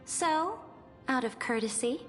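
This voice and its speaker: A haughty conquering empress from a fantasy game, her voice high and sweeping dramatically up and down. She declaims with regal deliberation and theatrical command, ringing out in a long reverb over music. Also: animated. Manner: grand, deliberate, declamatory speech. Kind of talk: dramatic video game voice acting. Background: game soundtrack music.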